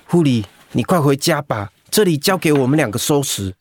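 A man's voice: on the high side, lively intonation, moderate pace, darkish tone. Folksy Taiwanese male coworker. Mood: concerned. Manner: folksy, switches into Taiwanese sayings. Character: caring, joking male coworker of the manager. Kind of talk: radio drama acting.

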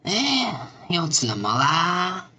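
A woman's voice: lowish voice, sing-song, extremely slow, pushed and bright, slight background noise, exaggerated voice. A woman playing a sardonic, weary, foul-mouthed smoker on a riot squad in an amateur radio drama, with a teasing, griping manner. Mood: griping, sarcastic.

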